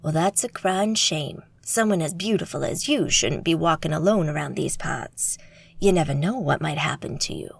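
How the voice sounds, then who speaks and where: A woman's voice: low voice, normal speed, vocal fry, slightly rough, pitched below the performer's own voice, heavily acted. A woman doing a cocky swaggering magus.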